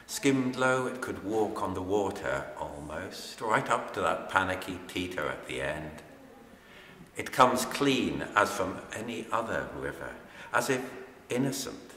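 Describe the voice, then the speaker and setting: Slow, very low-voiced man in echoey hall. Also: bright, forceful tone. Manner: measured, deliberate, with pauses and repeated words. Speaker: poet. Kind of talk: poetry reading and reflective introduction. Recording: echoey reverberant hall with background noise.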